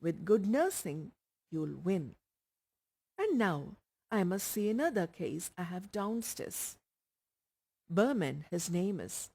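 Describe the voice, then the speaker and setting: A woman's voice: on the low side, sing-song, takes it slowly, pauses often, dark tone, exaggerated voice. A woman voicing a matter-of-fact male doctor in a storybook, clipped and clinical.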